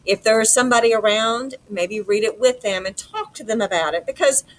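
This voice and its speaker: A woman's voice: on the high side, a little fast, poor audio. A middle-aged woman teaching a lesson in an informal, explanatory, conversational way. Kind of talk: conversational lesson walk-through. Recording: clear background noise.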